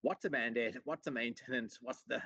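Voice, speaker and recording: A man's voice: mid-range voice, a little fast, vocal fry, a bit bright, husky. A middle-aged man from Sri Lanka who has long lived in Australia, answering interview questions earnestly and conversationally over a video call. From born in Sri Lanka, long resident in Australia. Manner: earnest, explanatory, frequent you-knows. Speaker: chief executive, interview guest. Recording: roomy, dull video-call audio.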